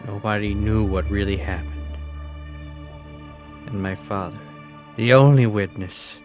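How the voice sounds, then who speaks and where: An actor playing a grown man haunted by his father's death, telling it in a hushed, halting way. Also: lowish voice, soft background music, lightly acted. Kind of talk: fan manga dub, dramatic reading.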